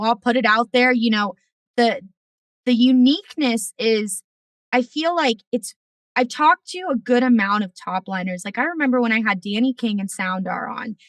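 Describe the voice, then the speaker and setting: A woman podcast host with a raspy voice, talking in an outspoken, emphatic way in casual conversation. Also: on the high side, normal speed, dry recording, distant mic. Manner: outspoken, swears freely, emphatic.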